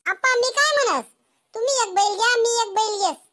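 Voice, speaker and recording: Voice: very high-pitched, expressive, a little fast, bright, forceful tone, slight background noise, heavily acted. A performer voicing an eager, obedient young farm boy in a comedy cartoon, with an Ahirani accent. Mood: obliging.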